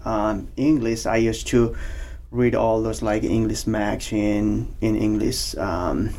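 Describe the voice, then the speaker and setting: A man in his forties from Nepal with a Nepali accent, speaking as a podcast guest in a halting, casual way, with many fillers and restarts. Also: on the low side, steady tone, mellow. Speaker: podcast guest, banking officer. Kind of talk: casual interview.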